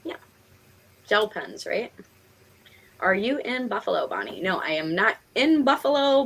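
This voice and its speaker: A chatty woman with a Northeastern American accent hosts a live stream. Her voice sits at an average pitch. She speaks casually and conversationally, with a giggly streak. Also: low-quality recording. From Pennsylvania and New York, United States. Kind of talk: casual chat.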